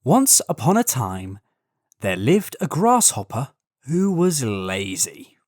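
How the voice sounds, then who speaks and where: A storyteller narrating a scripted children's tale in an expressive, deliberate way. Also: mid-range voice, no room sound, studio quality.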